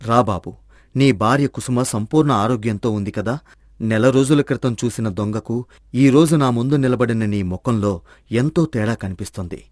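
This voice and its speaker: A man voicing a serene, kindly old sage in a storybook tale, with a measured, preaching and benevolent manner. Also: mid-range voice, darkish tone, dry recording, clean studio sound, lightly acted. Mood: calm, benevolent. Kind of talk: scripted moral-story dramatization.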